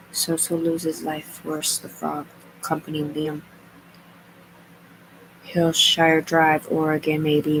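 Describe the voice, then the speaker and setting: A woman's voice: low voice, slight background noise, rough recording. A woman livestreaming, rambling as she reads aloud and makes asides to herself and her viewers. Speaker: live streamer.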